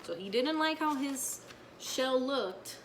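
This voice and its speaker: A woman teacher talking casually to her young pupils and reading a picture book aloud, often checking in with a quick okay or right. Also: sits high, expressive, a little hiss or hum. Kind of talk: casual read-aloud with explanations to children.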